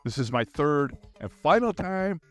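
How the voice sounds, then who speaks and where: A man's voice: on the high side, big pitch swings, a little slow, soft background music. A middle-aged man with an American accent, hosting a podcast in a casual, rambling, slangy monologue. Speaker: podcast host.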